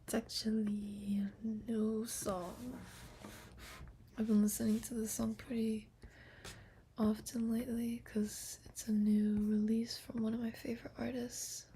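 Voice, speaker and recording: A young woman streamer whispering slowly and softly in a relaxed sleep stream, talking into a laptop microphone. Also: fairly high pitch, a little hiss or hum. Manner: soft unvoiced whisper, slow and breathy. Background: guitar.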